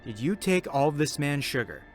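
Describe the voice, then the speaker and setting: A man playing a stern, level-headed police officer in a horror audio story, speaking in a measured, questioning way. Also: on the high side, sing-song, soft background music.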